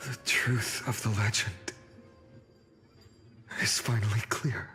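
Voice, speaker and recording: A man's voice: on the low side, slow, sharp tone. A man playing a grave, solemn figure in a dramatic video game scene. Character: grave, solemn male revealer of a legend. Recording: reverberant hall, music behind.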